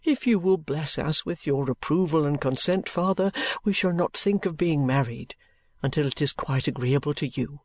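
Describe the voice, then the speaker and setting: A reader voicing a meek, dutiful young man in an audiobook, pleading earnestly and submissively in a hushed way. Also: mid-range voice, normal speed, no echo, close to an everyday voice. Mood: imploring, earnest. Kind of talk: audiobook character voice.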